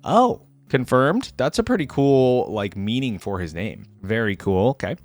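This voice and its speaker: A man's voice: mid-range voice, sing-song, a little slow, no room sound. Casual man giving reaction commentary. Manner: conversational, thinks aloud with frequent "like". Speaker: gaming reaction video creator.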